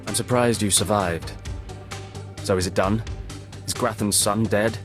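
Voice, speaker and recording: A man's voice: on the low side, steady tone, measured pace, music in the background, close to an everyday voice. A man voicing a smug, treacherous undercover spy in a video game, sneering and condescending.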